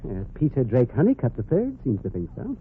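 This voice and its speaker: An actor playing a fussy, pompous, easily flustered department store floorwalker in a 1940s radio comedy. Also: male voice, medium pitch, wildly swooping pitch. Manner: prissy, flustered, haughty, drawn-out exclamations. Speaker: comic supporting actor. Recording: tinny, noisy 1940s radio recording.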